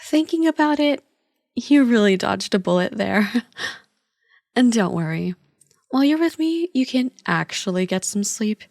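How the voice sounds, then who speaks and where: A woman's voice: on the high side, lively intonation, measured pace, vocal fry, darkish tone, no room sound, clean studio sound. A woman playing a friend's teasing older sister in a casual, intimate ASMR roleplay, chatty, playful and reassuring.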